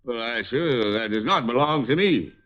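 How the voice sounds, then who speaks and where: A man's voice: medium pitch, lively intonation, fairly quick, close to an everyday voice. An actor playing a pompous, indignant male antique collector with florid speech in an old-time radio detective drama. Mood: prickly, stiff. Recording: muffled vintage radio recording.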